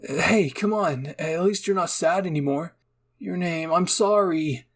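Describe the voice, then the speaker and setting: A man acts out a caring young boy in an audio fan-fiction roleplay. His voice sits on the high side with a husky edge. He speaks in an earnest, coaxing way, as if comforting a close friend. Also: measured pace. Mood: apologetic. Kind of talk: acted dialogue in a fan-fiction audio reading.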